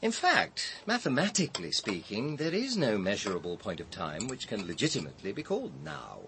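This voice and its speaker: An English actor in a radio drama playing an urbane intellectual who holds forth in an articulate, donnish way. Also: male voice, lowish voice, huge pitch swings, a little fast, noisy background. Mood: musing. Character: urbane, intellectual man holding forth at a dinner party. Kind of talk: BBC radio drama dialogue.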